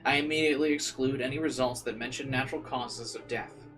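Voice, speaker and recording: A man with a mid-pitched voice reads a visual novel aloud in a casual let's play style. He mixes narration with informal asides and stumbles now and then. Faint music plays behind him. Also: steady tone, fast, fairly bright tone. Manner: informal asides, occasional stumbles while reading.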